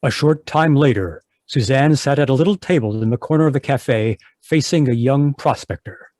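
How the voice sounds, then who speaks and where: A man's voice: mid-range voice, moderate pace, a little mellow, no room sound. A man narrating an old-time radio adventure as its announcer, reading scripted lines.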